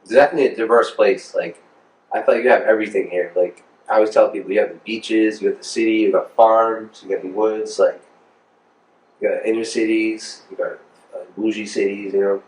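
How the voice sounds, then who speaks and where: A man's voice: fairly low pitch, steady tone, fairly quick, a little mellow, recorded from a distance. An American man in his thirties chatting casually in an interview as a music producer, informal, slangy and rambling. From Rhode Island, United States. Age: 30s. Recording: roomy, echoing, off the mic.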